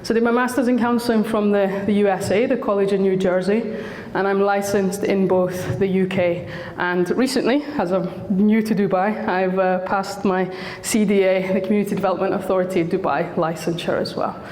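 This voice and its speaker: A woman's voice: mid-range voice, steady tone, a little fast, a little mellow, slight background noise. A Scottish woman working as a school counsellor, giving a friendly, chatty talk to parents with a slightly sore throat. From Scotland. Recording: echoey hall recording.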